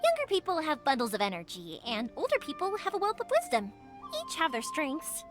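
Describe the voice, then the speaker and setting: An actress playing a cheerful young fireworks maker in a video game, bubbly and eager. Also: female voice, high-pitched, huge pitch swings, fairly quick, over music. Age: young adult. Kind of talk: game voice acting.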